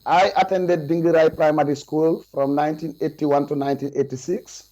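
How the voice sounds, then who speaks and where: A man in his forties with a Gambian accent, giving deliberate, formal answers as a witness over a video call from a room. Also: a little high, fairly even intonation, dark tone. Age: 40s. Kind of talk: testimony over video link. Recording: muffled video call audio with mains hum.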